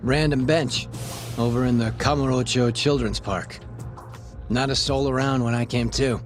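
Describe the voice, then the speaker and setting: A man's voice: mid-range voice, steady tone, moderate pace, faint music behind, close to an everyday voice. An actor playing a shady, sarcastic male police detective with a streetwise manner in an English video game dub. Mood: matter-of-fact, irritated. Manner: sarcastic, streetwise, foul-mouthed.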